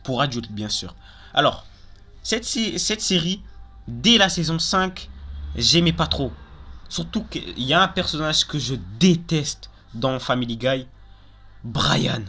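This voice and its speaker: A man's voice: on the high side, animated, slow pace, bright, forceful tone, soft background music. A young man hosting a casual countdown video, talking informally and giving opinions, often repeating and qualifying himself. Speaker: video host.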